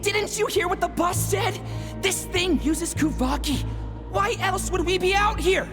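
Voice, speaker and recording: A woman's voice: extremely high pitch, measured pace, over music. A woman playing a bossy, irritable bandit in a game cutscene, impatient and scolding. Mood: irritated. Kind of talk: video game cutscene acting.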